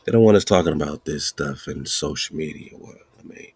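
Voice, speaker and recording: A podcast host speaking in a slow, emphatic, repetitive preaching style with a husky voice. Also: male voice, a bass voice, darkish tone, dry recording. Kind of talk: casual preaching-style monologue.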